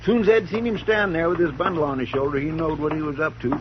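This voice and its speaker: An actor playing a hesitant, folksy deputy who stammers, on an old radio broadcast. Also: male voice, on the high side, fairly even intonation, fast, dull audio, noisy background, close to an everyday voice. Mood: worried.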